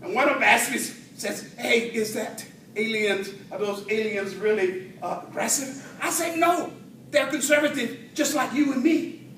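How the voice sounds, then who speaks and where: High-pitched man telling a theatrical tall tale. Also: fairly bright tone. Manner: theatrical, dramatic pauses and swells. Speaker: speech contest storyteller at a Toastmasters club. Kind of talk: humorous tall-tale speech to an audience. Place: indoor room with an audience. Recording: roomy, distant mic, faint noise.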